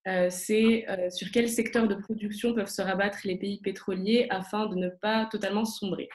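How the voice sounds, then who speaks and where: Woman running the q&a on a video call, steady and even, not much pitch movement. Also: moderate pace. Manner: reads questions out in an even, orderly way. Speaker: moderator. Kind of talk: moderating a live Q&A.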